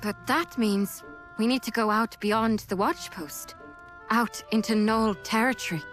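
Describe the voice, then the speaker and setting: High-voiced female fantasy mercenary. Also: steady tone, measured pace, a bit bright. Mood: serious. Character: seasoned female mercenary, familiar and easygoing. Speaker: video game character. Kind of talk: English game cutscene voice acting. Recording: music behind the voice.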